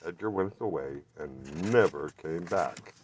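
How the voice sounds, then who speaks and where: A man reading a children's storybook aloud with expressive, deliberate narration. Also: low voice, slight background noise. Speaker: children's bookstore reader.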